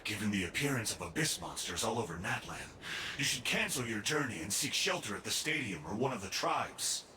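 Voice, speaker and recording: An actor playing a formal, composed soldier in a video game, measured, courteous and firm. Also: male voice, lowish voice, expressive, pushed and bright, slight background noise. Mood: polite, composed.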